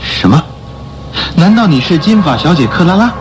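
A man acting in a radio drama as a suave, mocking gentleman thief, with a theatrical, scoffing, questioning delivery. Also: a little high, big pitch swings, moderate pace, background noise. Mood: startled. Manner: theatrical, scoffing, interrogating with exclamations.

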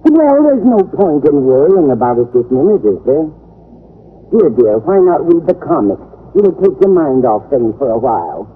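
Husky, fluttery older landlady. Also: deep voice, very animated, moderate pace, heavily acted. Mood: motherly, prying. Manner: motherly, fretful chatter. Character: fussy, nosy, excitable older landlady. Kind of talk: 1940s-50s radio sitcom acting. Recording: tinny old-time radio recording.

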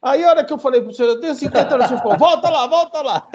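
A man in his fifties with a Brazilian accent and a high-pitched voice. He speaks as a casual interview host. The sound is muffled and lo-fi. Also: animated, quick pace, a little mellow.

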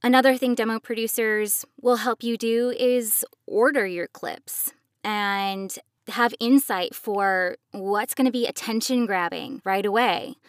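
A woman co-hosting an instructional podcast, explaining in a measured, emphatic, conversational way. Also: fairly high pitch, no room sound, studio quality. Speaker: podcast co-host, professional voice actor.

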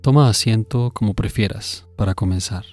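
A man narrating a scripted guided meditation in a measured, instructive way, pausing between phrases. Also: fairly low pitch, darkish tone, soft background music.

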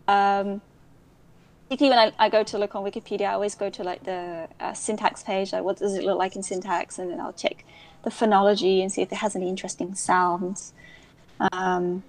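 A woman's voice: medium pitch, little pitch movement, brisk, poor audio. A young adult woman, chatting as an interview guest in a rambling, digressive way with many restarts. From United Kingdom. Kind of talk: casual interview chat.

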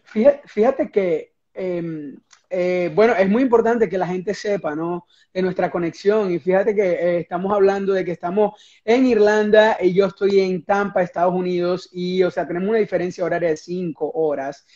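A man's voice: sits high, steady tone, normal speed, dark tone, highs cut off. A Venezuelan man in his thirties, a guest marketing director, speaking in an upbeat but hesitant way, with many fillers, over a video call. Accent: Venezuelan Spanish.

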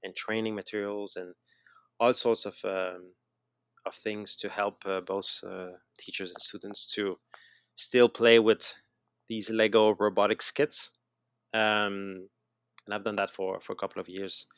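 A middle-aged man with a Quebec French accent speaks in a low voice with a gravelly fry. He talks reflectively as an interview guest, stopping and restarting phrases as he goes. The recording is dry and slightly dull. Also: flat delivery, no room sound. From Montreal, Canada. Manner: halting, repeats words mid-sentence. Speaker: interview guest, educator and designer. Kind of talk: casual interview, reflective storytelling.

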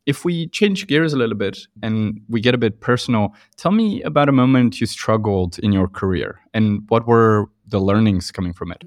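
A man hosting an interview, asking relaxed open questions and giving brief acknowledgements. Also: fairly low pitch, moderate pace, hardly any pauses, no room sound, studio quality. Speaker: podcast host.

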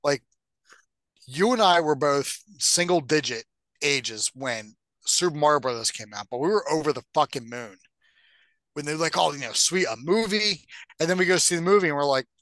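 A middle-aged man co-hosting a podcast, talking casually and haltingly, with some swearing. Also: fairly high pitch, lively intonation, a bit bright, no room sound, poor audio. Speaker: podcast co-host. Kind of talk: casual discussion.

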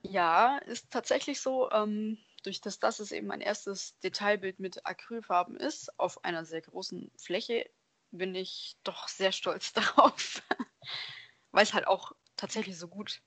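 A woman's voice: medium pitch, measured pace, fairly bright tone, no echo. Woman guest chatting in a close, dry sound. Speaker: podcast guest, hobby painter. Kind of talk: casual conversational interview answers.

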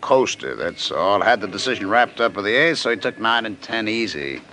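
An actor playing a cocky, evasive big spender in a 1950s radio crime drama. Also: male voice, fairly low pitch, big pitch swings, normal speed, bright, forceful tone. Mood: defensive, boastful. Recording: slightly noisy old radio recording.

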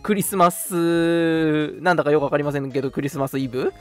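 A man's voice: on the high side, fairly even intonation, fairly quick. A young man co-hosting a live radio talk show, mixing casual, jokey banter with polite announcements. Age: young adult. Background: background music.

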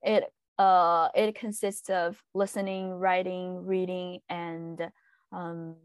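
A woman's voice: lowish voice, little pitch movement, clear, resonant tone, dry recording. Chinese-accented young woman, dry close sound. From China. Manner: hesitant, frequent fillers and pauses. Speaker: guest, translation student. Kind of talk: casual interview answers.

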